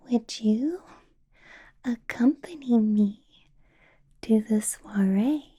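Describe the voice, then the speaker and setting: A woman playing a possessive, doting vampire boss in an ASMR roleplay, in a breathy whisper with a deliberate, coaxing, playfully menacing manner. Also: fairly high pitch, warm tone, heavily acted. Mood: softly menacing. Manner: slow, deliberate, coaxing, teasing.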